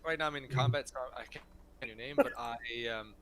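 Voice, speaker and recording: A man's voice: mid-range voice, fast, crowd noise. A young man with a first language other than English, chatting casually while streaming a game. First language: not English. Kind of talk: casual gaming chat.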